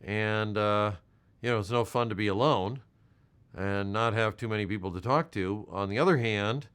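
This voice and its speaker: An older man with an American accent, talking in a gentle, halting, reassuring manner as a volunteer making a check-in call. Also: on the low side, smooth. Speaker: health department volunteer caller. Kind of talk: supportive check-in phone call.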